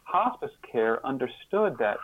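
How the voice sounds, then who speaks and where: A man speaking as an interview guest, explaining in a halting way, repeating words and stressing key terms. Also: mid-range voice, very animated, no room sound, narrow, tinny audio. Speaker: interview guest, author and sociologist.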